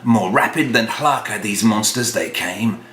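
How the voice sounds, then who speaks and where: A man's voice: lowish voice, unhurried. A man reads narrative verse aloud in a theatrical storyteller's style. He takes his time, shaping each phrase for drama. The room echoes around him, with a faint hum underneath. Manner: dramatic, hushed passages rising to exclamations. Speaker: reader of a comic poem. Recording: roomy echo, faint hum.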